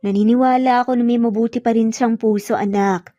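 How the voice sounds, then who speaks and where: A woman's voice: fairly high pitch, steady tone, brisk, dark tone, no room sound, lightly acted. A woman voicing a kind, forgiving older mother who soothes and coaxes, in an audio drama. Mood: sympathetic. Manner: soothing, coaxing. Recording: muffled lo-fi audio, music bed behind.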